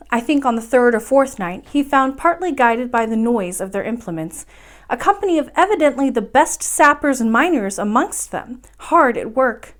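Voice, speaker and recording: A woman reading a children's story aloud as a storyteller, with expressive, measured phrasing and dramatic emphasis. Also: high-pitched.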